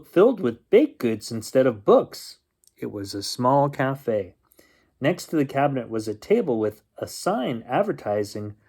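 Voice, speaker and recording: A man reading a children's picture book aloud as a bedtime storyteller, expressively and with drawn-out suspense. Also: mid-range voice, darkish tone.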